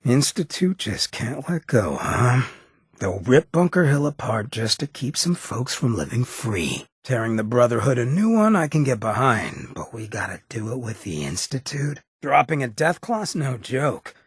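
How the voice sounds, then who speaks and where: Streetwise male ghoul drifter, extremely husky rasp, cocky slangy drawl, roguish with a conscience, video game dialogue, dry studio sound. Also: mid-range voice, sing-song, moderate pace, dry recording. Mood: wry, cynical, then approving. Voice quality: very raspy, gravelly.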